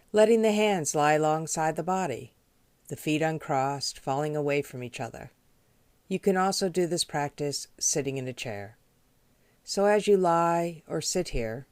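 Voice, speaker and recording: A woman leading a guided meditation as a teacher, speaking in a gentle, measured, instructional way. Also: deep voice, dry recording. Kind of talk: guided body scan meditation.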